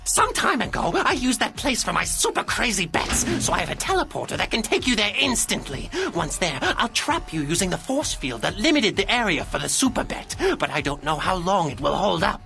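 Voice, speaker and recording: An actor voicing a quirky, energetic robot gambler in a video game, giving urgent mission instructions in a creaky voice. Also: mid-range voice, brisk, hardly any pauses, over music. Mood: urgent, briefing.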